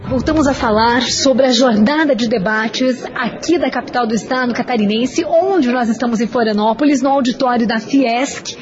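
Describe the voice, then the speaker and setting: A woman radio host interviews guests at a live event. Her voice sits a little high and she questions formally and steadily. The sound is dull and lo-fi, with a crowd clearly audible behind her.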